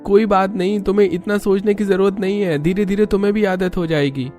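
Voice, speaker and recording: A woman voicing a calm, reassuring young husband in a cartoon story. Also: medium pitch, brisk, soft background music, natural voice. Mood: calm, curious. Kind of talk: Hindi cartoon story character acting.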